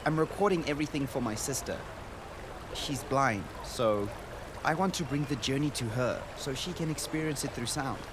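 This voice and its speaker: A man playing an earnest, wonder-struck boy in a radio drama, speaking gently and descriptively. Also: medium pitch, moderate pace, lightly acted. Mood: friendly, eager. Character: earnest, wonder-struck boy recording a journey for his sister. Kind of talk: radio drama narration and dialogue. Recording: noisy outdoor ambience behind the voice.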